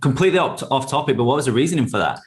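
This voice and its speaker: Male interview host, mid pitch, relaxed and agreeable, easy conversational questions. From England, raised in Australia. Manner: relaxed, agreeable, quick affirmations. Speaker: interview host, business coach. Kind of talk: casual interview chat.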